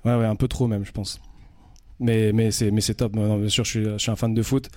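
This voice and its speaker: A man from France, probably in his thirties, speaks as a guest in a casual radio interview. His voice sits low and warm, and he often stops and restarts while searching for words. The sound is close and dry, with no room around it. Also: flat delivery, brisk, dry recording. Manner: hesitant, many fillers and restarts.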